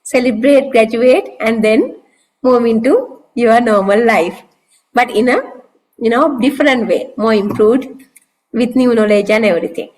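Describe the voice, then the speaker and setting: A woman course instructor giving a relaxed, conversational overview of a course on a video call, with frequent casual fillers. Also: a little high, rough recording. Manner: conversational, loose with fillers like "you know, like". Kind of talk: online course orientation talk.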